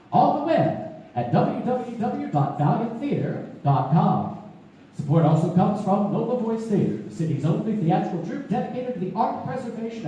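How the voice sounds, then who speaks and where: Mellow, animated woman announcer in echoey theater. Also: medium pitch. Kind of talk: scripted sponsor announcement. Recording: echoey theater from far off, faint noise.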